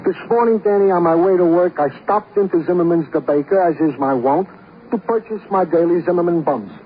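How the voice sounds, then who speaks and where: Man playing a plaintive, high-pitched comic police sergeant. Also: brisk, natural voice. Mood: plaintive, aggrieved. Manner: plaintive, florid mock-formal phrasing. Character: earnest, wounded, comically wordy police sergeant. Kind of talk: 1950s radio drama acting. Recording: muffled, faintly noisy 1950s radio recording.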